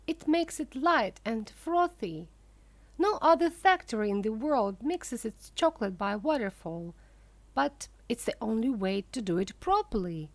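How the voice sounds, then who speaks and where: A woman reading aloud and voicing an eccentric, excitable male chocolate maker who gushes proudly over his creations. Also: sits high, no room sound, full character voice. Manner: gushing, showy and proud.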